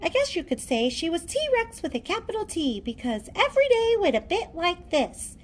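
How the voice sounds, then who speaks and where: A woman reading a children's storybook aloud in a theatrical, deliberate storytelling manner. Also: very high-pitched, very animated, a little hiss or hum. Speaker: storybook reader. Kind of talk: children's read-aloud narration.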